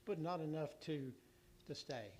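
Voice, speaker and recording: A hoarse man giving a city council utility report, rambling and halting with many restarts and fillers. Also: a little high, a little mellow, slight background noise.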